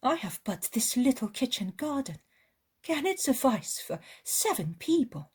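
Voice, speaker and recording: A woman's voice: sits high, sing-song, unhurried, darkish tone, slightly rough, pitched above the performer's own voice, heavily acted. A woman voicing a worn-out, anxious mother from a poor village who pleads and complains in a raised voice. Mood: pleading.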